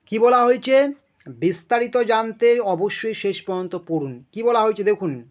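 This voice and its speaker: A man presents an informal news explainer, speaking emphatically, repeating himself and checking in with his listeners. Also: sits high. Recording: dull lo-fi sound.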